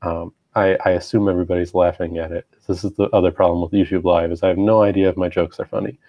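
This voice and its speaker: A man with a slight cold giving a live-streamed web training from his own room, halting with frequent ums and throat clearing. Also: sits low, flat delivery, dry recording, dull audio. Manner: halting, many ums and uhs, clears throat often, self-deprecating. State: stuffy, getting over a cold. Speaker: university web team presenter. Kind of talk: live-streamed webinar presentation.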